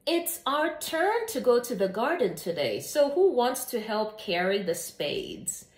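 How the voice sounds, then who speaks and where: A storybook primary teacher, voiced by a woman reader, calls out organizing instructions to her class. The delivery is expressive and measured, with audible room echo. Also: on the high side, pitched above the performer's own voice. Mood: brisk instructing. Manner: calling out clear instructions to children.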